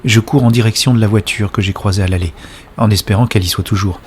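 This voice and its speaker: A man's voice: lowish voice, fairly even intonation, fast, slight background noise, natural voice. An actor playing an anxious, impatient man searching for his friend in a French science-fiction audio drama. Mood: weary, tense narration. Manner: alternates urgent dialogue with hushed introspective narration. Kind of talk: French audio drama acting with first-person present-tense narration.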